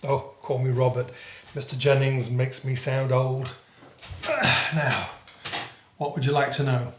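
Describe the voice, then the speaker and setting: A man's voice: mid-range voice, fairly even intonation, unhurried, close to an everyday voice. Hesitant old author in a roomy space man acting. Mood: hospitable. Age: older. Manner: hesitant, rambling politeness. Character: elderly reclusive male author, hesitant but hospitable. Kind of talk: audio drama acting. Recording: roomy, noisy, off-mic sound.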